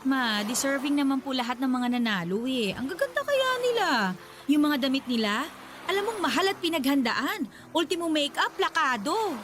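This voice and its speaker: A woman's voice: sits high, lively intonation, normal speed, a bit bright, slight background noise. A woman voicing a meek, dutiful college-age daughter who speaks politely and hesitantly, in an audio drama. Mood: timid.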